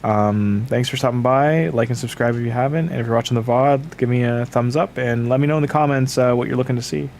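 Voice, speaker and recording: A man's voice: medium pitch, brisk, vocal fry, few pauses, slight background noise. A man streaming a game late at night, rambling casually to his chat with lots of fillers, sniffly from the tail end of a cold. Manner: rambling, filler-heavy, relaxed advice to chat. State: sniffly, getting over a cold. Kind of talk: casual livestream chat with viewers.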